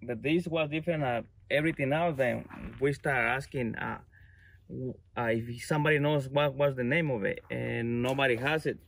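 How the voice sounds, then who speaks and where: A middle-aged man, a grower, giving casual, halting interview answers in non-native English outdoors on a farm. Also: mid-range voice, creaky, fairly bright tone, slight background noise. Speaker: fruit grower being interviewed.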